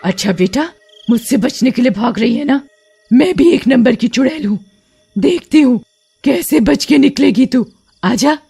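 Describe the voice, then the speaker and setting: Woman acting a slow, gloating, scheming witch. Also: high voice, expressive, gravelly, mellow, breathy edge, soft background music, voice pushed up, exaggerated voice. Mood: taunting, menacing. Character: scheming greedy female witch hunting prey at night. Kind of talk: horror storybook character voicing.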